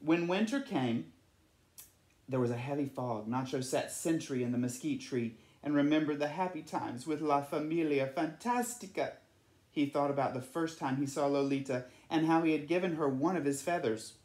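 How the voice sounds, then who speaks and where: A man's voice: a little high, normal speed, a little mellow. Man reading a storybook, echoey room. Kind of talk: children's picture-book read-aloud.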